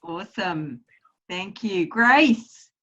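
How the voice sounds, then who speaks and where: A woman hosting a casual group video call, upbeat and quick to praise each guest. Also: lowish voice, animated, bright, forceful tone. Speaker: host of a team video call. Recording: muffled video call audio.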